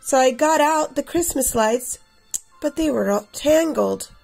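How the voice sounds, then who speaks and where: A woman in her thirties reading a picture book aloud expressively for children, with a slightly husky, creaky voice. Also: high voice, lively intonation, measured pace, a little mellow, soft background music. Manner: addresses children directly. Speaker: read-aloud storyteller for children.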